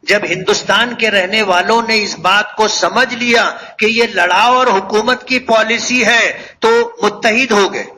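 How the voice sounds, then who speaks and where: High-pitched, slow preacher man. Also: bright, forceful tone, a little hiss or hum, low-quality recording. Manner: earnest, measured, repeats phrases for emphasis. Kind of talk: religious sermon to a congregation.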